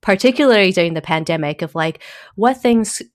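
A woman from Taiwan, a guest in a casual conversation, talking thoughtfully with many pauses, fillers and small laughs. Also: medium pitch, lively intonation, dry recording, clean studio sound.

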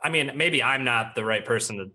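A loud, chatty young man with a bright, mid-pitched voice. He talks with animated energy and tosses in plenty of casual asides. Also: brisk. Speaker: podcast co-host. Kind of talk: casual chat.

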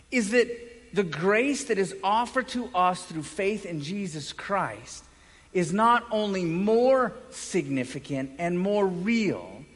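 High-voiced man preaching in a reverberant hall. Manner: emphatic, with dramatic pauses and swings from loud to hushed. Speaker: pastor preaching. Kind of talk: sermon. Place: large indoor room, preaching to a congregation. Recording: echoey hall with long reverb.

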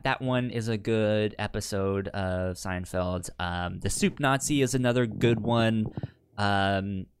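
A man in a casual podcast chat as co-host, speaking haltingly and often repeating syllables and words. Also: on the low side, vocal fry, a bit bright, clear, resonant tone. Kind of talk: casual chat about sitcom episodes.

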